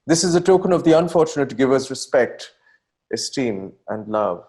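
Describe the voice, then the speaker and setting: Man playing young Victorian-era poet, effusive, florid, declamatory letter reading, lively swooping intonation, stage drama. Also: mid-range voice, normal speed, lightly acted. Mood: anguished, pleading. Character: effusive, melodramatic young 19th-century male poet writing letters.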